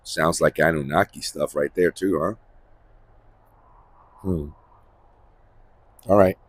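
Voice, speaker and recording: A man hosting a Bible-reading series, giving informal commentary in a halting, repetitive way and often checking for agreement. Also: very deep voice, fairly even intonation, no echo.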